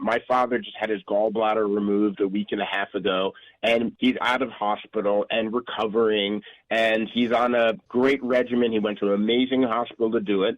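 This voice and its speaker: A man's voice: mid-range voice, no room sound, lo-fi sound. A middle-aged man, a company founder, giving rambling, earnest answers in a radio interview, often correcting himself. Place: radio studio.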